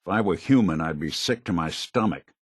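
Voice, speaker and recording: A man playing a world-weary, principled android detective in a video game, with a gravelly American voice and a wry, hard-boiled noir delivery. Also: deep voice, expressive, fairly quick, no echo, clean studio sound. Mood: wry disgust. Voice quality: gravelly, husky.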